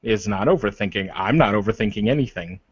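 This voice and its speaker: A man's voice: lowish voice, expressive, fast talker, breathy edge, no echo. A man playing a proud, protective werewolf leader in improvised roleplay, arguing insistently with repeated phrases and pointed questions. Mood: earnest, impassioned. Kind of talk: improvised in-character roleplay dialogue. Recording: dull, lo-fi voice recording.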